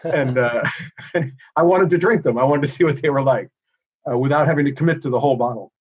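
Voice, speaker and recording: A middle-aged American man talks through his work in a relaxed, thoughtful way, pausing often with small fillers. His voice sits at a middle pitch. The audio is a little dull, as over a remote call. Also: breathy edge. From United States. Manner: relaxed, thoughtful, many "uh" pauses. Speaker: inventor and guest. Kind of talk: casual interview chat.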